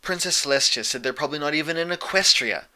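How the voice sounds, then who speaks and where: A man plays an exasperated young mare in an audio story. The voice is sharp, tense and lifted, with a worried, defensive manner. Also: on the high side, fast talker, voice pushed up. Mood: irritated. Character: worried, exasperated, studious young female pony.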